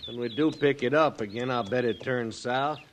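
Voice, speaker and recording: Casting: man playing folksy American western lawman, medium pitch, persuasive and confident, vintage radio drama with faint noise. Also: moderate pace, close to an everyday voice. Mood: self-assured. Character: self-assured, conciliatory male frontier lawman in a western. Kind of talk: 1950s western radio acting. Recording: noisy old radio recording.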